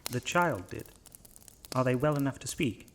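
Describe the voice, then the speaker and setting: Actor as a courteous, formal, self-doubting priest. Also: male voice, mid-range voice, expressive, moderate pace, noisy background, natural voice. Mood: uneasy, doubtful. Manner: courteous, formal, slightly pompous. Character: polite, devout priest, hesitant and quietly self-doubting. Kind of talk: horror audio drama acting.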